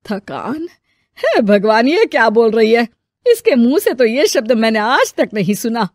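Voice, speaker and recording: A woman's voice: a little high, big pitch swings, unhurried, no room sound, clean studio sound. A woman playing an affectionate elderly mother-in-law who fusses and exclaims in a homely family story. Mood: puzzled. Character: affectionate elderly mother-in-law, fussing over her household.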